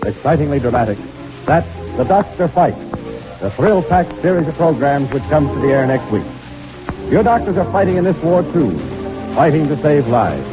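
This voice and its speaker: Old time radio announcer guy, dramatic and polished, dull vintage sound with music under him. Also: a little high. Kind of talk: 1940s radio advertising announcement.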